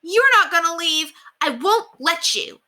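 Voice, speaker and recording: A woman's voice: very high voice, sing-song, unhurried, pushed and bright, voice pushed up, heavily acted. A woman reading a children's storybook, voicing an earnest, pleading ten-year-old boy with emphatic, childlike delivery. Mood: upset, defiant. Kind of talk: children's storybook read-aloud.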